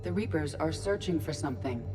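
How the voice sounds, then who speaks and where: A woman playing a calm, precise artificial intelligence aboard a starship in a video game, speaking in a measured, formal, matter-of-fact way. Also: sits low, music in the background. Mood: calm, analytical.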